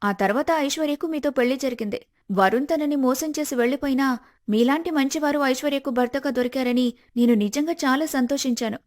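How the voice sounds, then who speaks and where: Lady doing a polite young woman eager to help, high voice, respectful, cartoon moral story. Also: a little fast, darkish tone, natural voice. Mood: earnest, helpful. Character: polite, grateful young woman, willing informant. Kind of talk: animated moral story voice acting.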